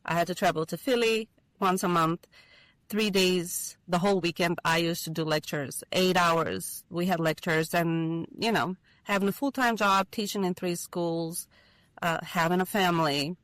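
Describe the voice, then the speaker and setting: A woman's voice: on the low side, fairly even intonation, moderate pace, a bit bright, dry recording. A woman from Albania, a university lecturer, talking earnestly in an interview, with small hesitations and fillers. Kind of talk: casual interview conversation.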